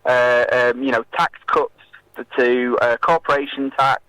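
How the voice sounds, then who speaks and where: A man speaking as an activist guest in a radio interview, making a political argument in a hesitant, halting way with many filled pauses. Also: medium pitch, steady tone, bright, forceful tone, clean tone, no echo, low-quality recording.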